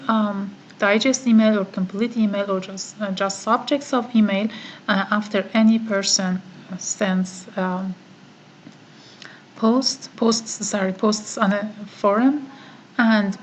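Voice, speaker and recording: A woman whose first language is Farsi, giving a step-by-step online course tutorial, talking methodically and pausing on "uh" often. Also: medium pitch, a little hiss or hum, poor audio. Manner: slow, methodical, with frequent "uh" pauses. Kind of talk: screen-recorded software tutorial.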